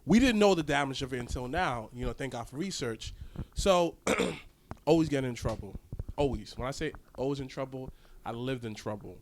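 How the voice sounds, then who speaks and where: A man's voice: medium pitch, lively intonation, normal speed, a little hiss or hum. A young man as a podcast guest, telling his story candidly and laughing often. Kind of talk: casual podcast interview.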